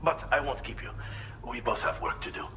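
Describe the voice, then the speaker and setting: An actor playing a thoughtful, helpful mechanic in a video game, explaining things in a measured, slightly hesitant way. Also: male voice, medium pitch, in a whisper, close to an everyday voice. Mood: earnest, friendly. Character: thoughtful male inventor mechanic, earnest and helpful. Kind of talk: video game cutscene dialogue.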